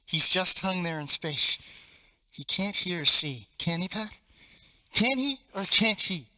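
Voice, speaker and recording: A teenage male actor playing an intense, needling man in a stage play, swinging theatrically between loud and hushed delivery, with obsessive fervor. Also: high voice, expressive, unhurried, no echo, full character voice, low-quality recording. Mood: taunting. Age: teens. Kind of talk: stage play monologue, projected theatrical acting. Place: high school theatre stage. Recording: live stage recording with faint noise.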